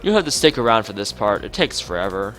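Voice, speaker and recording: Guy reading as a chirpy teen track girl, visual novel, friendly n eager, bouncy pitch, music behind. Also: big pitch swings, fast talker, fairly bright tone. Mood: cheerful. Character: cheerful, chatty teenage girl track runner.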